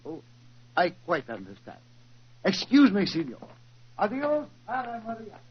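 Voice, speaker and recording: An actor in a 1940s radio drama playing an effusive, flattering musical director with florid, over-polite manners. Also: male voice, sits high, unhurried, heavily acted. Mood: gushing. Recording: dull, noisy 1940s radio recording.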